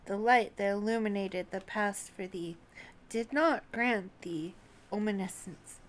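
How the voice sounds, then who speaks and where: An ancient male dragon from a fantasy game, voiced by a woman, speaks slowly and solemnly. The tone is scornful and world-weary, with archaic, measured wording. Faint ambient noise sits behind the voice. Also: medium pitch, natural voice. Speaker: streamer reading out translated game dialogue. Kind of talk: archaic fantasy dialogue read aloud.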